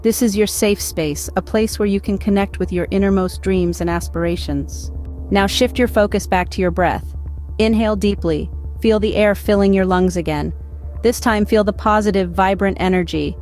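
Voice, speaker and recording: A woman narrating a scripted guided meditation in a measured, instructional way. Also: medium pitch, darkish tone. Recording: background music behind voice. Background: music.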